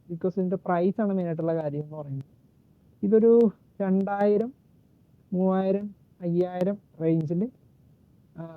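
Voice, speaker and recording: A man giving a casual, unscripted gadget walkthrough in a halting way, searching for words and correcting himself. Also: high-pitched, no room sound. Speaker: gadget reviewer and demonstrator. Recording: muffled phone-like narrow-band sound.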